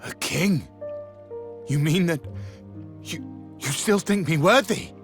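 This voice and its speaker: An actor playing a proud, solemn mouse king in a fantasy game, speaking formally and with feeling in a husky, theatrical voice. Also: on the high side, slow, over music. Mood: stunned, humbled. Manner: formal, archaic, emotional delivery. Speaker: game character.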